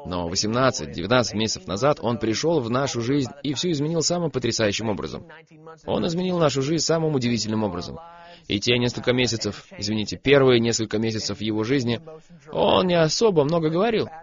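Young guy preaching, really lively up and down voice, kinda muffled lo-fi recording. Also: mid-range voice, fast. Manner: animated storytelling, emphatic repetition. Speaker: preacher, guest on a program. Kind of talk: sermon.

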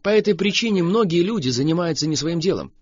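Animated man telling stories, lo-fi sound. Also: a little high, fast talker. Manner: animated storytelling about flying. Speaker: preacher and show host.

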